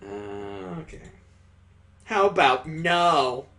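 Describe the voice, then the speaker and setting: A man's voice: high voice, lively intonation, drawn-out words, gravelly, a bit bright, full character voice. A man voicing a flustered, exasperated girl in a visual novel, stammering as she protests. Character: flustered, brooding girl, protesting teasing affection.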